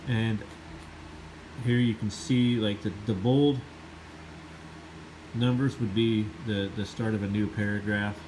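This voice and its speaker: A man's voice: lowish voice, fairly even intonation, slow, long pauses, darkish tone, a little hiss or hum, rough recording. A man with a slight cold, sniffling a little, giving an enthusiastic, rambling casual review of a Bible.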